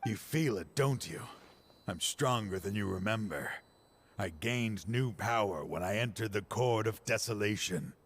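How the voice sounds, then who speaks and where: An actor playing a grieving older man, once a partner of the hero, who speaks in a measured, weary and somber way in a game cutscene. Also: lowish voice, expressive, fairly bright tone, a little hiss or hum. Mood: menacing. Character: grieving older male ex-partner turned foe.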